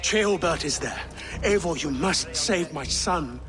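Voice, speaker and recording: An actor playing an earnest, worried father and new king, speaking formally and gravely in a video game. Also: on the high side, animated, takes it slowly. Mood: earnest concern.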